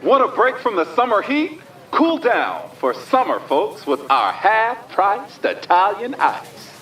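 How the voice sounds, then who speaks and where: An actor playing a peppy supermarket announcer pitching deals to shoppers in an audio drama. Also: male voice, very animated, takes it slowly, fairly bright tone, recorded from a distance, heavily acted, poor audio. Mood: upbeat, salesy. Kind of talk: promotional store announcement.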